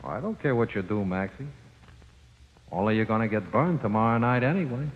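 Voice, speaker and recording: An actor playing the sinister, coaxing ghost of a convict, slangy and matter-of-fact, in 1940s radio drama with a reverb effect. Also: male voice, on the low side, lively intonation, fairly quick. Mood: coaxing, menacing. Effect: long ghostly reverb. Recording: very muffled old radio, long reverb.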